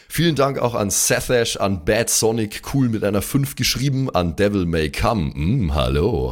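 Male podcast host, low voice, chatty and playful, informal delivery. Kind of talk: casual spoken outro with thank-you roll call of supporters.

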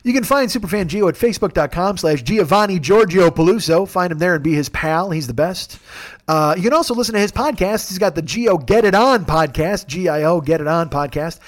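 Gravelly, rambling self-deprecating man. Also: sits high, expressive, a little fast. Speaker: podcast host. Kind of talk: casual solo monologue.

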